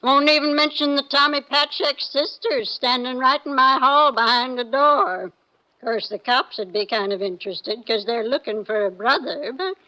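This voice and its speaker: A woman's voice: high-pitched, normal speed, sharp tone, rough recording. An actress playing a brash, sly, grasping rooming-house landlady in a 1950s radio drama, complaining in slangy talk. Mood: sly, wheedling.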